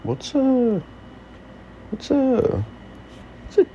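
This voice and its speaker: Dull noisy recording, man, casual caller, slow halting delivery, wildly swooping pitch. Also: wildly swooping pitch, mellow. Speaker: listener sending a recorded question. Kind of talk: casual short voice message. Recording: muffled lo-fi noisy voice message.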